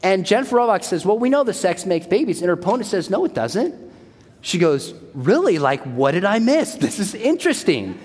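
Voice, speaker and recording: A man's voice: sits high, sing-song, fairly quick, a little mellow, husky. A man in his forties giving an emphatic church talk, repeating his key points. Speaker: speaker at a church. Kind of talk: sermon-style talk. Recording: long hall reverb.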